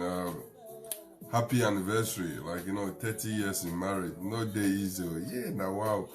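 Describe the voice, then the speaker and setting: A Ghanaian man with a low, deep voice hosts a live show, talking earnestly and rambling from point to point. Faint music plays under him. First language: a Ghanaian language. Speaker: host.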